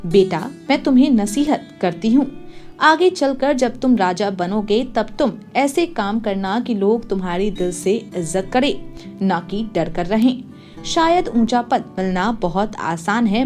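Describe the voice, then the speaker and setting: A woman's voice: mid-range voice, animated, unhurried, darkish tone, faint music behind, lightly acted. Woman as a wise, earnest queen mother. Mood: earnest advice. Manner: earnest, instructive. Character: wise, frank queen mother advising her son.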